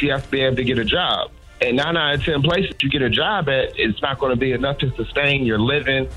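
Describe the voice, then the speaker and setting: A man's voice: medium pitch, pushed and bright, low-quality recording. A man with a mid-range voice puts questions casually on the radio. He sounds earnest and colloquial, and music plays in the background. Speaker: listener asking a question. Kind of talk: casual, conversational.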